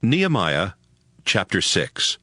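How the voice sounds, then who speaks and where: A male announcer reads scripted headings in a bright, crisp-edged tone. His pitch is fairly low with lively movement, recorded very dry. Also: slow pace, no room sound. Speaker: chapter announcer.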